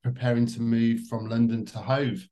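A man in his forties, a podcast guest, talking in a relaxed, conversational way. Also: medium pitch, normal speed. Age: 40s. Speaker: podcast guest, agency founder. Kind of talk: casual interview chat.

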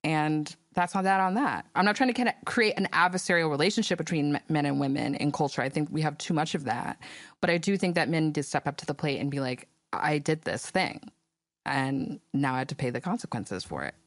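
A woman's voice: deep voice, brisk, no room sound. Sassy woman podcast host, dry studio sound. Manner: sassy, animated commentary with slang. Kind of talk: casual podcast talk with ad reads.

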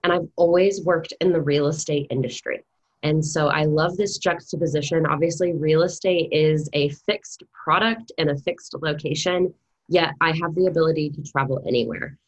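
Low-voiced American woman telling her story. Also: steady tone, normal speed, low-quality recording. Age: 30s. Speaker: podcast guest, real estate investor. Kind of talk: conversational interview storytelling.

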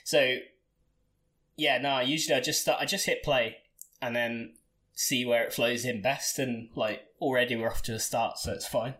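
A man's voice: mid-range voice, fairly even intonation, moderate pace, fairly bright tone. Man in his twenties, chatty. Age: 20s. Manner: chatty, laughs often, many restarts. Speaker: podcast host. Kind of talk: casual podcast chat. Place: bedroom.

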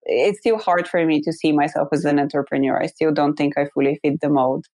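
A woman's voice: low-pitched, steady tone, a little fast, a little mellow, no room sound. A woman from Bulgaria speaking as an interview guest, telling her personal story in an articulate, reflective way. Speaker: interview guest, company founder.

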